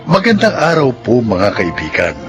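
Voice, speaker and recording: A man's voice: medium pitch, huge pitch swings, normal speed. A man with a Filipino accent reading scripted, dramatic radio narration as the show's announcer. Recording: music bed behind narration.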